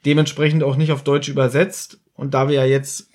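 A man's voice: medium pitch, flat delivery, fairly quick, darkish tone. A man co-hosting a casual podcast chat, explaining an episode recap and checking for agreement. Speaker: podcast co-host.

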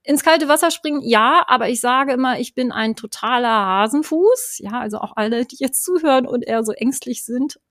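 A woman's voice: high voice, fairly quick, hardly any pauses, fairly bright tone, no room sound. Middle-aged woman chatting away as a guest, lots of ums and "ne?", dry close sound. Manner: chatty, many fillers and tag questions. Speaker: guest, online course creator. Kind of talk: conversational interview.

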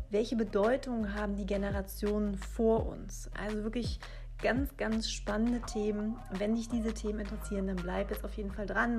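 A woman hosting a podcast, gently guiding listeners to relax before introducing her guest. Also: a little high. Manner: warm, soothing guidance in the intro. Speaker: podcast host. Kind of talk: podcast intro and interview questions.

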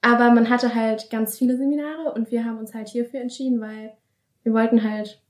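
An eighteen-year-old German woman answers in a shy, giggly way, keeping it brief. Her voice is quite high. The room adds a strong echo. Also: steady tone, fairly quick, a little mellow. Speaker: volunteer, interview guest. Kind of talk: casual interview answers. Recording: roomy echo.

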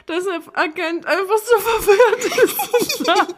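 A young woman with a high voice co-hosts a casual podcast. She reminisces in an expressive, giggly and excitable way, like someone swapping stories with a friend. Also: measured pace, a little hiss or hum. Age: late 20s. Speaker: podcast co-host. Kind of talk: casual chat reminiscing with a co-host.

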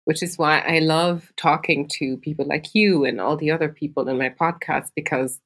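A woman's voice: sits low, steady tone, normal speed. A woman hosting a podcast, asking long thoughtful questions in a conversational interview. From Africa. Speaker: podcast host.

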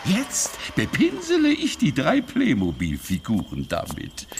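An eccentric old inventor in a children's radio play. The voice is creaky and pitched high, swinging wildly between mutters and exclamations. He is fussy and easily frustrated, with noise behind him. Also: huge pitch swings, measured pace, exaggerated voice. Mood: absorbed, fussy. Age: older. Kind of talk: German children's audio drama acting.

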